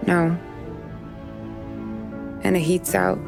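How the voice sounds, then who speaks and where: A woman's voice: fairly low pitch, little pitch movement, very slow, gravelly, music in the background, heavily acted. An American woman playing a tough, wry young 1930s neighborhood woman in a game, blunt and teasing in her slangy talk. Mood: firm, caring. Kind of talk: game cutscene acting.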